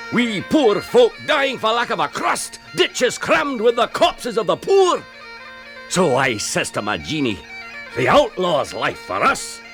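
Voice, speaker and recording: A man's voice: medium pitch, heavily acted. An actor playing a boastful, gleeful Scottish cannibal outlaw in a radio play, telling his tale theatrically and with relish. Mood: aggrieved.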